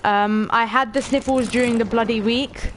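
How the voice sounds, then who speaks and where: A woman in her late teens with a stuffy nose, casually narrating a game stream, chatty and excitable, swearing offhand and talking to her viewers. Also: fairly high pitch, normal speed, a little hiss or hum. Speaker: gaming streamer. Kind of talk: casual let's-play commentary.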